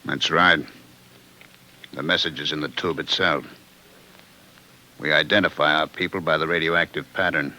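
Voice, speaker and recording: An actor in an old radio drama playing a big, gruff, menacing agent who barks curt orders. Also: male voice, rumbling low, fairly even intonation, moderate pace, long pauses, sharp tone, heavily acted. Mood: stern, demanding. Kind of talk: 1950s radio drama acting. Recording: noisy old 1950s radio recording.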